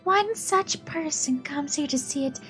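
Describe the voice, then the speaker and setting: A woman's voice: high voice, music in the background, pitched above the performer's own voice. A woman voicing a sweet, amiable young nun while reading visual novel dialogue aloud. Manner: sweet, deliberate, polite. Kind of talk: amateur read-aloud dubbing of game dialogue.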